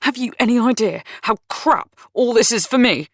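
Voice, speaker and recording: A woman voicing a volatile, self-pitying man who rants and complains loudly. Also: fairly low pitch, animated, normal speed, no echo, studio quality, natural voice. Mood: angry ranting. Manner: ranting, raised and strained.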